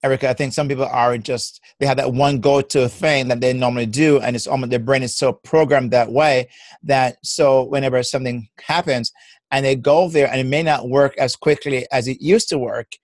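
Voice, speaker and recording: A man with a Jamaican accent gives reflective, encouraging advice as a guest on an interview show, laughing easily between points. His voice sits at a middle pitch and is recorded dry and close. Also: steady tone, moderate pace, no room sound. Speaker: personal development coach.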